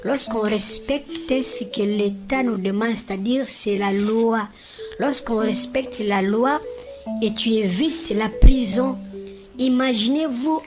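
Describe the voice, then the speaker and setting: A woman voicing a kindly, devout grandmother who patiently teaches her grandchildren in an animated religious film. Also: on the high side. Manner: patient, instructive, often checks understanding.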